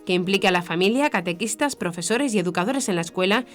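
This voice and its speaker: A woman's voice: mid-range voice, animated, quick pace, a bit bright. A woman reads scripted news in a formal manner. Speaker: news presenter. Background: background music.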